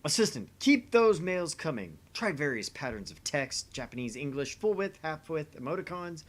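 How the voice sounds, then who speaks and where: Casting: a man as self-important young mad scientist, loud theatrical delivery, highly animated pitch, grand pronouncements. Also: moderate pace. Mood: commanding, bombastic. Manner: bombastic, grandiose proclamations. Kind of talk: amateur visual novel voice acting.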